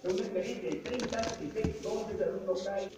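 A man's voice: sits high, fairly quick, noisy background, natural voice. A man playing a strict, boastful statistics teacher lecturing a class. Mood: stern. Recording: muffled, echoey room from far off.

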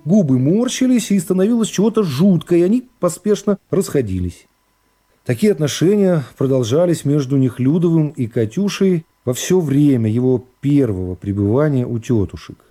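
A man narrating a literary radio play in a measured, expressive storytelling manner. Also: on the high side. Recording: music behind the voice. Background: plucked guitar music.

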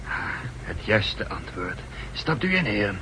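A man's voice: very animated, unhurried, sharp tone, heavily acted. An actor playing an uneasy, cowardly traitor in a 1960s radio drama, polite and hesitant with his questions. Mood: polite, guarded. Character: anxious male traitor, uneasy driver full of questions. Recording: noisy old radio recording, car rumble.

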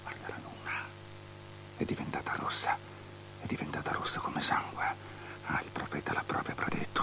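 A man's voice: mid-range voice, pushed and bright, full character voice, poor audio. An actor playing a lecherous, fearful biblical king in a theatrical drama, stammering and repeating himself obsessively between whispers and shrieks. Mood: superstitious dread. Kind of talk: avant-garde theatrical radio drama.

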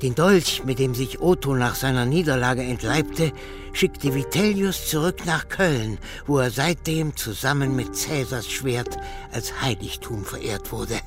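An actor playing an arrogant, obsessed older archaeology professor in a radio drama, lecturing and full of contempt. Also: male voice, medium pitch, a little slow, lightly acted. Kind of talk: German radio drama acting. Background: music.

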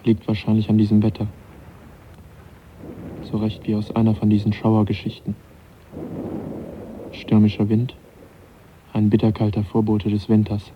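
A man acting in a German radio drama as a reserved, uneasy young gentleman naturalist, speaking in a sober, formal, dry way. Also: on the low side, monotone, moderate pace, long pauses, lightly acted. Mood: brooding, uneasy. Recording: dull, noisy 1980s radio drama.

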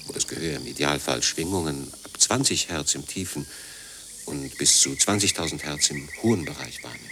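A man's voice: rumbling low, expressive, normal speed, noisy background. A man narrating a scripted radio feature as a reporter.

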